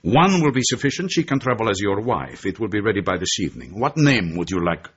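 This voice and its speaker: An actor playing a genial, shrewd Turkish intelligence chief in a radio drama, with a Turkish accent, a husky voice and an expansive manner. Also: male voice, fairly low pitch, sing-song, fairly quick, lo-fi sound. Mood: brisk, genial. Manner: expansive, tags sentences with a questioning "eh".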